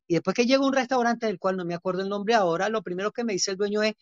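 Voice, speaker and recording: A man's voice: high-pitched, racing, no room sound. A man with a Venezuelan accent speaks as a guest in an interview, proud and emphatic as he lectures. The recording is dull and lo-fi. The room sounds dead and dry. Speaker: guest chef. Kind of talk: interview talk.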